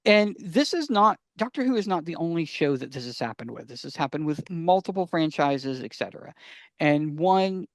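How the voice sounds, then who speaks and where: A man's voice: on the high side, lively intonation, moderate pace, no echo, clean studio sound. A man co-hosting a casual podcast, explaining things emphatically and often posing questions that he answers himself. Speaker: podcast co-host.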